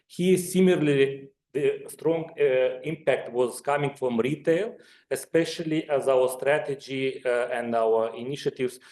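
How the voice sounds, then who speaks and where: A man's voice: medium pitch, a little slow, a little mellow. A man presenting financial results on a conference call as a finance executive. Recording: echoey conference call audio.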